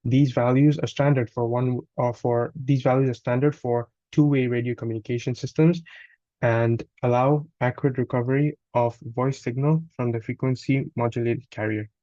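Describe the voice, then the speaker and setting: A man reads a scripted technical walkthrough as a student presenter, somewhat haltingly, sometimes stumbling and correcting himself. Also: medium pitch, steady tone, dark tone, no room sound, highs cut off. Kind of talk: scripted technical narration, read aloud.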